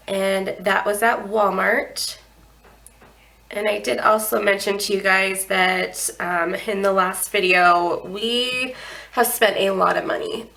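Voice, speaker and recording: A young woman walks through her budget with a stuffy, nasal head-cold voice. She talks slowly and unhurriedly, with a gravelly edge. Ums and throat clearing come often. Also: medium pitch, fairly bright tone, rough recording. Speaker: budgeting channel host. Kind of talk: casual budget walkthrough.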